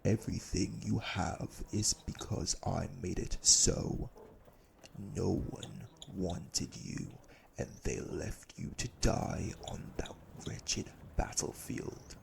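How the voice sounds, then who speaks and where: An actor playing a cold, cruel, domineering vampire elder brother in an audio drama, speaking deliberately with gravelly menace. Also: male voice, deep voice, a little hiss or hum, exaggerated voice. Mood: angry, domineering. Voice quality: gravelly, creaky. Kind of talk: dramatic audio roleplay acting.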